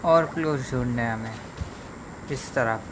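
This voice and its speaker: Fast-talking male streamer, heavy noise. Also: medium pitch. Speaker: game streamer. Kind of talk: casual live stream commentary. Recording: very noisy live stream microphone.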